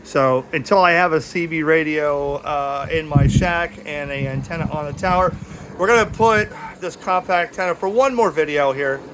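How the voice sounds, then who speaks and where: Male CB vlogger, slightly high voice, friendly radio lingo, recorded outside with some background noise. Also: moderate pace, fairly bright tone, rough recording. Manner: folksy, friendly radio jargon. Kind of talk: casual vlog narration and radio calls. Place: outdoors in a backyard.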